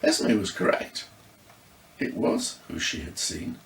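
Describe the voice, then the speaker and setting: An English man reading his own children's ghost story as expressive, scripted narration. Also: slow. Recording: roomy echo, distant microphone.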